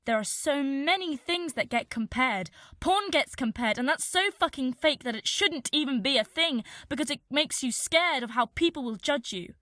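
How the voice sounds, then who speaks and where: A woman's voice: sits high, lively intonation, moderate pace, few pauses, pushed and bright, dry recording, clean studio sound. A woman playing an anxious, self-doubting young woman speaking frankly in a radio play monologue.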